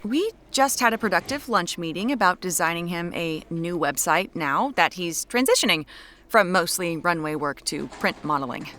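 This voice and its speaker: Brisk woman detective, noisy backdrop. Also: lowish voice, expressive, fairly bright tone. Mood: evasive, hurried. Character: brisk, evasive young woman amateur detective. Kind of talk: audio drama acting.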